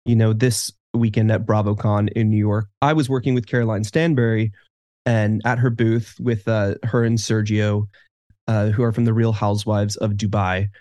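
A man's voice: lowish voice, level tone, normal speed, a little mellow, no echo. Wry man co-hosting, dry mic. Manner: wry, sarcastic humor. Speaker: podcast co-host. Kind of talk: casual chat.

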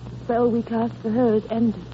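A woman's voice: a little high, level tone, normal speed, natural voice. An actress playing a tender, anguished godmother in a 1930s radio drama, speaking urgently and repeating her words. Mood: grave sorrow. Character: tender anguished godmother comforting a beloved child. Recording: tinny noisy old radio broadcast.

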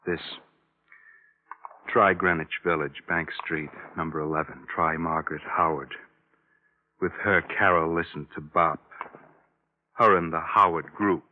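A man's voice: extremely low pitch, fairly even intonation, unhurried, long pauses. An actor playing a flippant, bookish male graduate student in an old radio drama, arch, wry and wordy. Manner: arch, wry, affected wordiness. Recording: narrow, tinny old radio recording.

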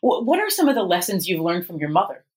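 A woman's voice: lowish voice, sing-song, fast talker, darkish tone, husky. A woman hosting a podcast, introducing and questioning her guest in a conversational interview style.

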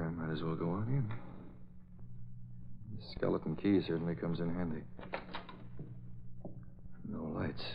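Low-voiced, resolute radio crime-fighter. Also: male voice, gravelly, full character voice. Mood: hushed, musing. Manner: deliberate, thinking aloud, commanding. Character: resolute, shrewd masked crime-fighter hero. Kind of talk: 1940s radio acting. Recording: muffled, noisy old radio recording.

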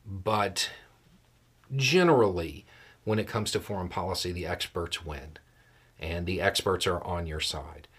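Man, lower-pitched voice, folksy, plain-spoken, measured pauses, casual explanatory news commentary. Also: fairly bright tone, breathy edge. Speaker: host of a political commentary show.

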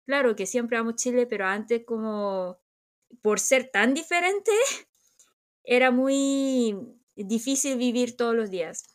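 A woman's voice: a little high, expressive, slow. A middle-aged woman from Korea with a Korean accent, co-hosting a chat podcast in a reflective way, often restarting and searching for words. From South Korea. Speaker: podcast co-host. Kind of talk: casual chat.

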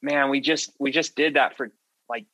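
A man's voice: medium pitch, steady tone, normal speed, pushed and bright, no echo. A man co-hosting a podcast, talking in an earnest, informal, conversational way. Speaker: podcast co-host. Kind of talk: casual conversational podcast wrap-up.

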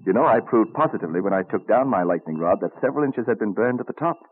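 A man's voice: on the low side, animated, quick pace, breathy edge. A man playing a genial, scholarly old statesman and inventor in an old-time radio drama, musing fondly and savouring his words. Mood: cheerful excitement. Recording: muffled vintage radio recording.

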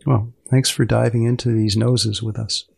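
A man hosting an interview podcast, speaking deliberately with repeated phrases and pauses. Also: mid-range voice, little pitch movement, gravelly, slightly rough, dry recording, studio quality.

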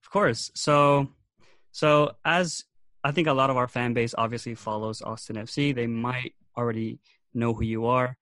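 A man's voice: mid-range voice, steady tone, moderate pace, fairly bright tone, no echo. A man hosting a podcast, asking upbeat, somewhat hesitant questions with fillers. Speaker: podcast host. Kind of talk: casual interview.

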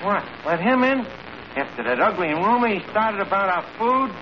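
An actor with a New York accent playing a brash, scheming tavern manager in a radio comedy, wisecracking and mangling big words with mock pomp. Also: male voice, sits high, big pitch swings, moderate pace. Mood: indignant. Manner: wisecracking, mock-pompous explanations full of malapropisms. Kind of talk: 1940s radio comedy acting. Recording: noisy, muffled old radio recording.

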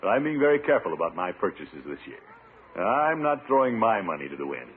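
An actor playing a pompous, jolly middle-aged small-town official in a 1950s radio comedy, blustery and chuckling. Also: male voice, lowish voice, big pitch swings, a little fast. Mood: mildly flustered. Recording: tinny, noisy old radio recording.

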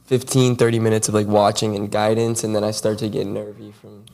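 Male podcast co-host, gravelly voice, wisecracking tone. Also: on the low side, level tone, a little fast, a little mellow. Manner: wisecracking, teasing. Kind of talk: casual chat.

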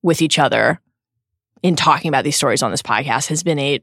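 A woman in her thirties co-hosting a podcast, speaking earnestly and with emphatic, drawn-out stress. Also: deep voice, gravelly, fairly bright tone, husky, no room sound, clean studio sound. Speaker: podcast co-host. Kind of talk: heartfelt podcast chat.

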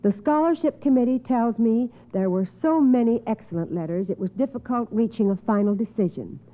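A woman hosting an old radio quiz show in a courteous, schoolteacherly manner with precise enunciation, affirming contestants. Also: mid-range voice. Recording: muffled phone-like old radio recording.

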